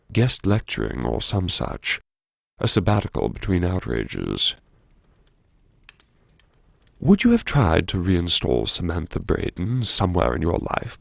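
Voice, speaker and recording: A deep, heavily creaking male voice, recorded close and dry, an actor playing a brooding academic in an audiobook monologue. He muses to himself with wry self-reproach. The delivery is intimate and sardonic, heavy with regret. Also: no echo, exaggerated voice. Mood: self-reproachful, musing. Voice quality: gravelly, creaky. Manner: sardonic, musing, self-reproachful, with sighs and pauses. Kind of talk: first-person audiobook monologue, intimate dramatic narration.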